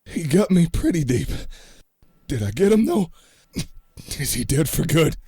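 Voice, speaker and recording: Man playing a husky wounded cowboy vampire hunter. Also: on the high side, very animated, unhurried, mellow, dry recording, full character voice. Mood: pained, resolute. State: wounded, failing. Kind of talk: radio play acting. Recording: music behind the voice.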